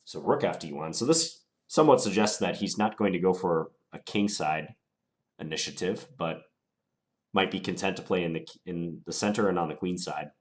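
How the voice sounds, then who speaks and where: A man with a stuffed-up voice from a cold, thinking aloud through his chess moves in a deliberate, musing way. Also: low voice. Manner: deliberate, musing, unhurried analysis. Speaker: chess player commentating his own game. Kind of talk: thinking aloud while playing.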